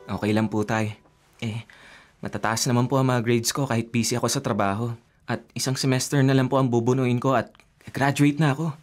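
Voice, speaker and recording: An actor playing a young, dutiful student son, polite and earnest, in a Filipino radio drama. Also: male voice, medium pitch, steady tone, brisk, soft background music, natural voice. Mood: reassuring, polite. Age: young adult. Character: dutiful, caring college-age son, working student.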